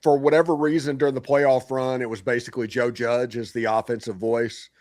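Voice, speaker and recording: A man from Tennessee co-hosting a casual sports podcast, telling stories quickly. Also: medium pitch, little pitch movement, darkish tone, no echo, clean studio sound. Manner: quick, storytelling. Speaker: podcast co-host.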